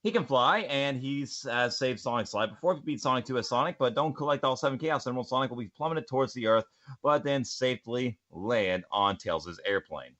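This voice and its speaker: A young man hosting a podcast, animated and goofy, giving opinionated list commentary with casual banter. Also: medium pitch, fairly quick, lo-fi sound. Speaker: podcast host and amateur voice actor.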